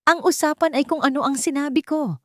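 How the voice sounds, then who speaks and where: A woman's voice: high voice, a little fast, dry recording, studio quality, pitched above the performer's own voice, close to an everyday voice. A woman voicing a scheming, cold-hearted rich stepmother whose sweet voice hides steel, in an audio story. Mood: cold commanding. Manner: sweet on top, icy and commanding underneath.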